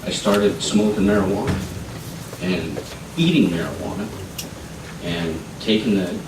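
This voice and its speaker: A man's voice: low voice, lively intonation, a little slow. A man from Colorado giving earnest, pleading testimony at a legislative public hearing, building to emphatic appeals. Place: legislative committee hearing room. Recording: echoey hearing room, distant mic, noisy.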